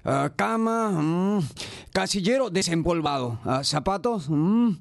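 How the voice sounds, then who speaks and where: A mocking, self-satisfied petty officer in a radio drama. His voice is high for a man and crackly, drawling sneers, recorded close and dry. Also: lively intonation, vocal fry, no room sound, full character voice. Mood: smug, mocking. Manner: drawn-out muttering, sneering. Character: smug, mocking petty officer conducting inspection.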